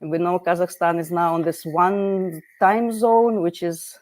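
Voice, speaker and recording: A woman with a somewhat low voice hosts an online interview. She talks in a chatty, friendly way and often restarts her sentences with fillers. She is heard over a video call. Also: fairly even intonation, unhurried, darkish tone. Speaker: host.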